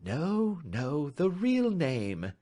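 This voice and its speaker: A man voicing a sharp, self-assured gentleman detective in an audiobook, speaking in a crisp, measured, gently ironic way. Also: on the high side, big pitch swings, voice pushed up. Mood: confident. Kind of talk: audiobook reading.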